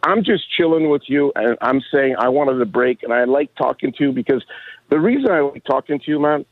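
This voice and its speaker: A man in his sixties calling a radio show, rambling casually in a halting, chummy way. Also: medium pitch, expressive, no echo. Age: 60s. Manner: halting, chummy, says "bro" often. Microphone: phone. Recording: dull band-limited call-in line.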